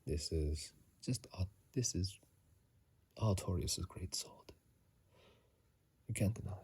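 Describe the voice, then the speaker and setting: Low, breathy whispering ASMR man. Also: animated, a little mellow, no echo. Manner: halting, musing, unhurried delivery. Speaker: ASMR video game commentator. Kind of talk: whispered ASMR, casual game lore commentary. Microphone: close phone microphone.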